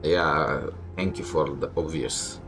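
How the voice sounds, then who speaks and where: Very deep-voiced man doing game commentary. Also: fairly even intonation, slow. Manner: irreverent, heckling the characters. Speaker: game streamer. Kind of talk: casual gameplay commentary, reading dialogue aloud. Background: loud game music.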